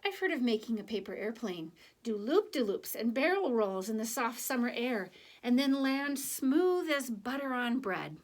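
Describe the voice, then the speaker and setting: A woman reading a children's picture book aloud, with deliberate, expressive phrasing and conversational asides to young listeners. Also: a little high. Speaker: children's story reader.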